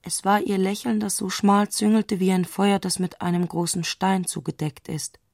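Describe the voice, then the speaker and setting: Eine Frau spricht in einem literarischen Hörspiel die introspektive Erzählerin, die mit bedachten Pausen die Gedanken einer aufgewühlten Frau schildert. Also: female voice, mid-range voice, flat delivery, a little mellow, no room sound, clean studio sound, natural voice. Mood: intense, dreamy. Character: intimate, introspective female narrator of a troubled woman's thoughts. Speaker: narrator in a literary radio play. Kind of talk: literary radio drama narration.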